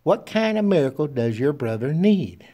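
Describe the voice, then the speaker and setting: A man voicing a kind, well-dressed male surgeon who speaks softly and gently. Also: fairly high pitch, big pitch swings, moderate pace. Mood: gentle.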